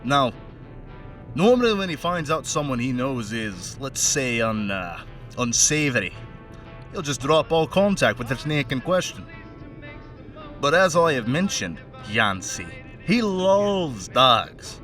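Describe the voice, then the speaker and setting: A man playing a mocking, menacing crime henchman with a put-on Scottish accent in an audio play monologue, chatty and sardonic with threatening pauses. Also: medium pitch, very animated, a bit bright, far from the mic, soft background music. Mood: sardonic storytelling. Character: menacing, mocking male crime henchman taunting a bound captive.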